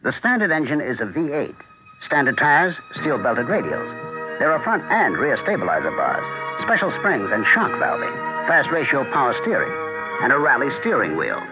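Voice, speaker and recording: A man's voice: medium pitch, huge pitch swings, moderate pace. A man announcing a scripted 1970s radio car commercial. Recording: tinny old radio recording. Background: prominent music.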